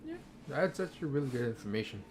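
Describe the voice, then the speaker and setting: A man's voice: medium pitch, lively intonation, fairly quick, noisy background. A man streaming a game, talking casually over the gameplay in an offhand way.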